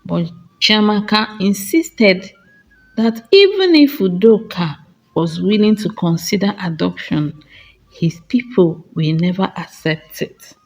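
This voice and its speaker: A woman tells a folktale as a scripted story. She takes her time, speaking slowly in short, measured phrases with a dramatic storyteller's delivery. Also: medium pitch, lively intonation, low-quality recording.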